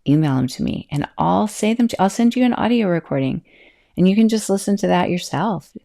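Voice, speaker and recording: Gravelly, mellow-voiced woman podcaster. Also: medium pitch, a little fast. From California. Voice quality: can be loud and projecting, calm-sounding. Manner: chatty, self-interrupting, laughs often. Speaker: podcast host and yoga teacher. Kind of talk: casual solo podcast talk.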